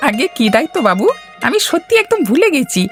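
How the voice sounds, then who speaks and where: Woman performing a sly cartoon henchman, high-pitched, swooping intonation, obsequious, wheedling. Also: big pitch swings, fast talker, faint music behind. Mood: fawning, plotting. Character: fawning, sly male henchman of village boss. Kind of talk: cartoon voice acting.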